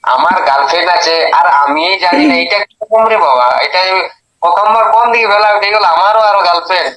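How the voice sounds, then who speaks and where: A man's voice: high voice, quick pace, pushed and bright, poor audio. A man with a rural western West Bengal accent in a casual phone conversation, baffled and protesting with frequent exclamations. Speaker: prank call recipient.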